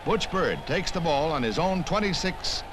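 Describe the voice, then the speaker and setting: A man's voice: on the high side, sing-song, moderate pace, noisy background. An American man reading scripted 1960s newsreel narration in a punchy, wry manner, on an old film soundtrack. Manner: punchy, wry, punning delivery. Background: music.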